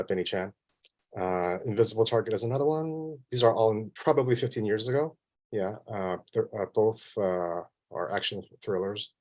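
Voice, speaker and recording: A man from Vancouver with a Canadian accent, chatting casually as an interview guest in a modest, self-deprecating, halting way. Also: on the low side. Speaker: interview guest, film composer.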